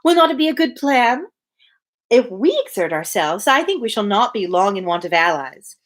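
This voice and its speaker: A woman's voice: medium pitch, big pitch swings, moderate pace, lightly acted. A woman reading a novel aloud as a gushing, self-important, overfamiliar young married lady who chatters effusively. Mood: eager, gushing.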